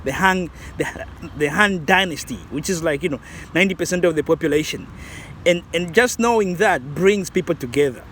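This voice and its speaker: A man's voice: fairly high pitch, lively intonation, moderate pace, a bit bright, slight background noise. A man, talking casually and reflectively in a vlog, rambling with many restarts. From Zambia. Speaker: vlogger.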